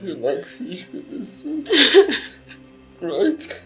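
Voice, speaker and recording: An actor playing a gentle young man on his deathbed, soft and tender. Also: high-pitched, big pitch swings, drawn-out words, soft background music, full character voice.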